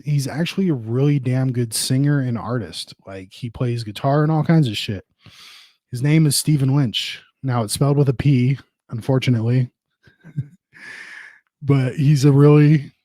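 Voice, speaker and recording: A man's voice: medium pitch, mellow, no echo. A man hosting a podcast solo, rambling casually and informally with plenty of swearing. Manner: profane, informal. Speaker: podcast host.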